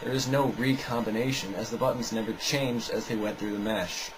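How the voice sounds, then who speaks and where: A man's voice: lowish voice, fairly even intonation, a little fast, poor audio. A man giving a scripted, argumentative rebuttal commentary in a sarcastic, dismissive way. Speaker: video narrator rebutting another video.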